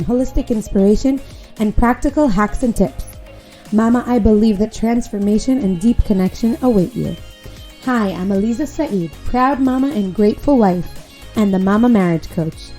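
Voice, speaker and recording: A woman delivers the scripted opening of her podcast, upbeat and inviting. Her voice is warm and mellow. Soft music plays faintly underneath. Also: medium pitch. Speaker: podcast host. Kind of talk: scripted show intro.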